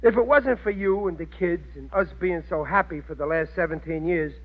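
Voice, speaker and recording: A muffled vintage radio sound carries a bumbling, good-hearted family man. His American voice sits high for a man, slangy and blustering, in 1940s sitcom style. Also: a little fast. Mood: weary, fond. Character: excitable, good-hearted working-class husband and father, bumbling.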